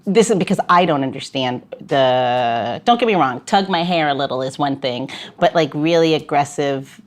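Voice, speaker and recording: Low voiced woman host, rambles and jokes, casual podcast talk. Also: expressive, moderate pace. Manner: chatty, jokey, rambling restarts.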